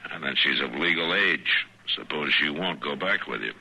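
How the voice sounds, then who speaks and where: A very deep-voiced frontier marshal. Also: male voice, creaky, sharp tone, breathy edge, exaggerated voice, poor audio. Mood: firm. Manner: laconic, unhurried, firm. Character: steady, watchful Old West US Marshal, grown man. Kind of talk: 1950s radio western acting. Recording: old radio broadcast with hiss.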